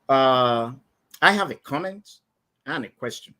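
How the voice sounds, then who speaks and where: A man's voice: mid-range voice, lively intonation, measured pace, sharp tone. Hesitant Nigerian man calling in. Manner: hesitant, many fillers and restarts. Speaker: caller. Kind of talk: live stream call-in.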